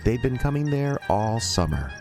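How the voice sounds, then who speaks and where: A man's voice: mid-range voice, big pitch swings, moderate pace, gravelly. A man acting as a folksy storyteller, narrating an audio drama directly to listeners. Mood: folksy, wistful. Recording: music behind.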